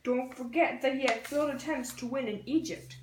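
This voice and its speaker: A woman's voice: high-pitched, brisk, slight background noise. A young woman, a student presenter, narrating history from a script as part of a two-person skit. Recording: roomy, echoey room sound.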